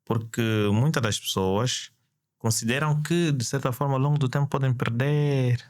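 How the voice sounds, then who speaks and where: A man's voice: mid-range voice, moderate pace, darkish tone, dry recording, studio quality. A man hosting a podcast, interviewing a guest and reacting with frequent admiring interjections. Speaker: podcast host.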